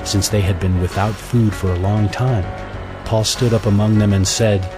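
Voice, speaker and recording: A man narrating a scripture audio drama in a measured, solemn storytelling manner, reading from a script. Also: fairly low pitch, fairly even intonation. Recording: music bed under the voice.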